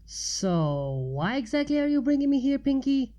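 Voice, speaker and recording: A woman's voice: high voice, very animated, moderate pace, mellow, pitched above the performer's own voice. Woman as a sensible, mild young cartoon pony. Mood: puzzled.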